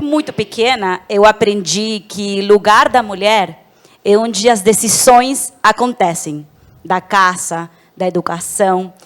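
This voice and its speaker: A woman with a Venezuelan accent, answering reflectively as a panelist in a discussion. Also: medium pitch. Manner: reflective, personal.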